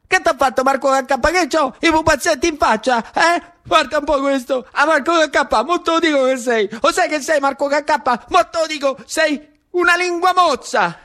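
A man's voice: extremely high pitch, moderate pace, hardly any pauses, bright, forceful tone, voice pushed up. A man playing a taunting, insistent schoolboy bully in a solo stage monologue, repeating himself as he badgers. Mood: pushy, needling.

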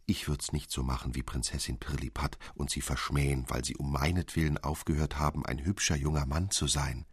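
A man's voice: very deep voice, fairly even intonation, brisk, breathy edge, no echo. A man voicing an eager, dreamy little girl in a storybook, full of wonder and earnest insistence. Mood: tender.

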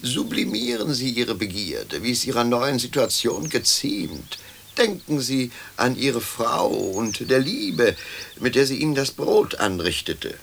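An actor playing a stern, theatrical lecturer among the dead, admonishing in manner, in a radio play. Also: male voice, mid-range voice, big pitch swings, a little slow, vocal fry, fairly bright tone, slight background noise, full character voice. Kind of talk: German radio play acting.

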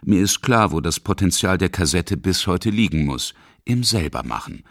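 A man's voice: low-pitched, lively intonation, normal speed, fairly bright tone, no room sound, studio quality. A man narrating a scripted radio documentary as its reporter.

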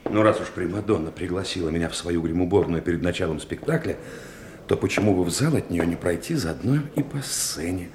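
Very deep, murmuring, curious visitor. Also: male voice, brisk, darkish tone, a little hiss or hum. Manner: wondering, murmuring asides. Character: curious man, slow and wondering. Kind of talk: 1970s radio play acting.

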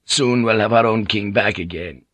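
A man's voice: on the low side, normal speed, a bit bright, dry recording. A man voicing a stern, hostile Portuguese priest in his twenties, impatient and accusing, in audiobook dialogue. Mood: indignant, bitter.

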